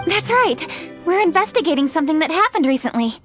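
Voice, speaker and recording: Principled young noblewoman, game cutscene: high, formal, firm and matter-of-fact. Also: lively intonation, fairly quick, soft background music. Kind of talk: video game cutscene acting.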